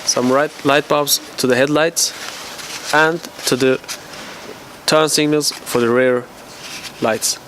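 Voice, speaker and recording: A man's voice: mid-range voice, steady tone, slow, pauses often. A man giving casual running commentary as he repairs his car outdoors, swearing freely and talking to himself. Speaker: vlogger doing car repairs. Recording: loud outdoor noise.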